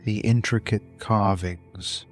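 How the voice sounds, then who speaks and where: A man narrating a scripted bedtime story in a soothing, deliberate manner, with long pauses between short phrases. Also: fairly low pitch, steady tone, faint music behind. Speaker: host and narrator of a sleep story podcast.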